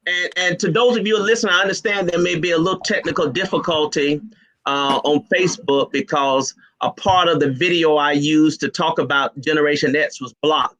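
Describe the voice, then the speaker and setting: A jovial man moderates a live panel over a video call, teasing his guests as he fires off questions. His voice is bright and forceful and sits a little high for a man. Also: fairly even intonation, a little fast, rough recording. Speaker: host and moderator.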